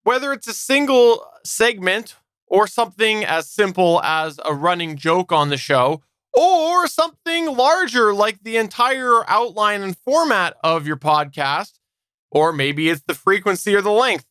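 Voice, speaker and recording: A man's voice: high voice, expressive, unhurried, bright, forceful tone, clean tone, no room sound, clean studio sound. A man hosting a podcast, presenting to listeners in an organized way. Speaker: podcast host.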